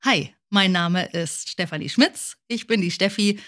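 A woman's voice: medium pitch, unhurried, fairly bright tone. A woman presenting and interviewing as a podcast host.

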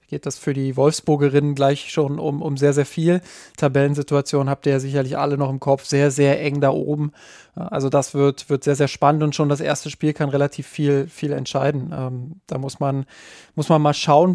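Flat-voiced man, hesitant with fillers. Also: a little high, dry recording, studio quality. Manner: hesitant, lots of fillers, repeats words. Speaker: podcast co-host. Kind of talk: casual football podcast chat.

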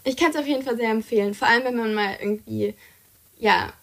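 Chatty young woman, fairly high voice. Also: fairly quick, creaky, a bit bright. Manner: enthusiastic, chatty, many fillers. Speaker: podcast co-host presenting books. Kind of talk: casual podcast chat.

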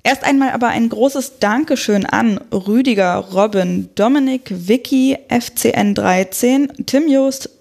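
A woman's voice: fairly high pitch, measured pace, creaky, hardly any pauses, a little mellow. A woman from Berlin hosting a football podcast, chatty and loose, correcting herself as she talks. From Berlin, Germany. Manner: chatty, with fillers and self-corrections. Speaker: podcast host.

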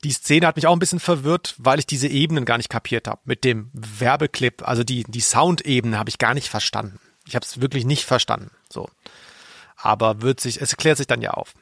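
A man chats casually as a podcast co-host. His mid-pitched voice has plenty of vocal fry. He sounds laid-back and hesitant, in a very dry recording. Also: fairly quick, a bit bright, no echo.

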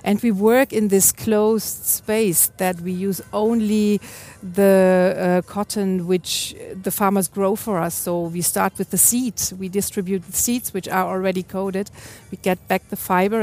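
Woman, medium pitch, company speaker fielding audience questions, unscripted, halting, explanatory. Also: steady tone, darkish tone. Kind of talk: unscripted panel answers.

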